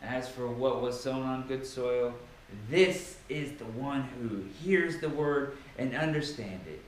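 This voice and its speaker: Echoey, theatrical man reading scripture. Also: mid-range voice. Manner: theatrical, emphatic, with dramatic pauses. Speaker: Bible reader. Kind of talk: dramatic scripture reading with informal asides. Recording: very echoey room with faint noise.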